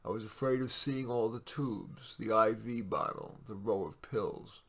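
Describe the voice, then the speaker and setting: Male story reader: very low, raspy, measured, expressive, informal author-reading feel. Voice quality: raspy, gravelly. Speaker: writer reading his own short stories. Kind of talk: storytelling read aloud with casual asides.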